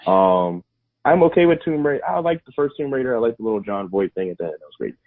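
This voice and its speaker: A man's voice: mid-range voice, expressive, fast. A man co-hosting a movie podcast, giving dry, grumbling opinions in casual chat. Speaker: podcast co-host. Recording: tinny phone-like line.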